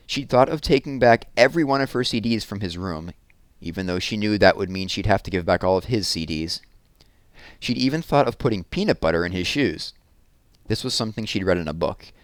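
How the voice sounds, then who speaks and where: A man reading a children's book aloud in an expressive storytelling style, leaning on key words for drama. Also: low voice, no room sound.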